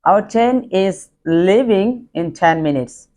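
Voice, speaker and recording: A man's voice: high-pitched, animated, slow pace, darkish tone. Man teaching simple English. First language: Bengali. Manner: repeats example sentences in drills, simple phrasing. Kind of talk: online language lesson.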